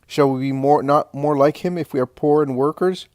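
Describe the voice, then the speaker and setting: Man playing defiant woman, imperious, thundering, commanding, raised pitch, storybook drama. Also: fairly even intonation, normal speed, darkish tone, no echo, clean studio sound, natural voice. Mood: scornful, proud. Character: fierce, defiant woman of absolute, imperious faith.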